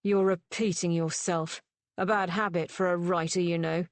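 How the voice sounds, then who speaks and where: Sneering world-weary gentleman, read by a woman, slow cutting sarcasm, dry close sound. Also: fairly low pitch, steady tone, dry recording, lightly acted. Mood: brooding recital. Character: sardonic, jaded gentleman rakehell, man.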